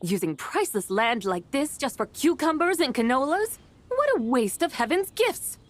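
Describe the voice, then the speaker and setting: A woman's voice: high-pitched, very animated, normal speed. A woman playing a proud, stern female immortal who lectures in lofty, archaic speech in a video game. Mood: lofty, instructive. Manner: haughty, archaic, lecturing diction. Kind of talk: game voice acting.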